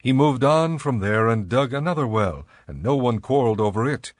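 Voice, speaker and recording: A man narrating scripture in a measured, formal, scripted style. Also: medium pitch, expressive, no room sound, studio quality.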